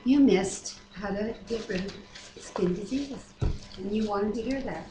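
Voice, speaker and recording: A woman's voice: medium pitch, unhurried, dark tone. Woman reading aloud in an echoey room. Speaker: Bible reader and commenter. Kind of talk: reading scripture aloud with casual asides. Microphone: webcam microphone. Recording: echoey room, noisy webcam audio.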